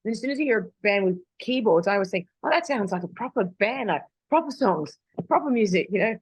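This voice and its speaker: A woman's voice: mid-range voice, lively intonation, fast talker, husky, no echo. An Australian woman singer chatting in an interview, rambling with lots of restarts and fillers. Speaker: singer guest. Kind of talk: casual interview chat. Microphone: video call.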